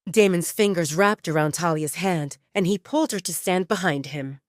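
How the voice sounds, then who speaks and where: A woman's voice: fairly low pitch, normal speed, no room sound, studio quality. A woman reading scripted romance fiction as the narrator of an audio drama.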